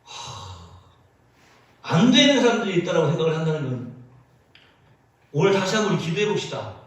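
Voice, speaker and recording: A male pastor preaching a sermon in an animated, storytelling way, with many acted quotes. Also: a little high, a little fast. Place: church sanctuary. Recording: echoey church sound from a distance.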